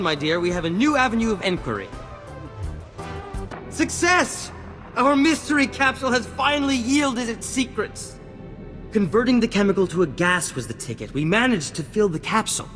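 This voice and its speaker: An actor playing a vain, charming scientist in a video game, talking in grandiose, courtly phrases. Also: male voice, high voice, expressive, fairly quick, fairly bright tone, long reverb tail. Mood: excited, triumphant. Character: flamboyant, vain, charming male scientist and self-styled genius. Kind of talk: scripted video game acting. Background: music.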